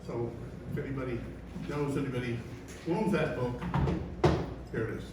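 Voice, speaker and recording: A man's voice: medium pitch, normal speed, far from the mic, very noisy. A man opening a public candidates meeting, hesitant with many fillers. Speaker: chamber of commerce president moderating a candidates forum. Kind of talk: informal public meeting remarks. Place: large echoey hall, bar room. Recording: echoey hall recorded from afar. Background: room ambience.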